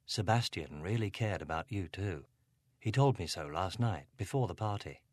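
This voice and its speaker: A man reading aloud, voicing a careful, gentle male detective who speaks slowly and soothingly. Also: low voice, expressive, no room sound, clean studio sound.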